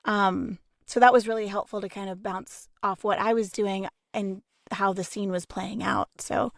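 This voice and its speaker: A woman's voice: medium pitch, steady tone, moderate pace, creaky, dry recording, studio quality. A woman explaining her approach earnestly in a casual, reflective panel discussion. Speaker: voice actor on a podcast panel.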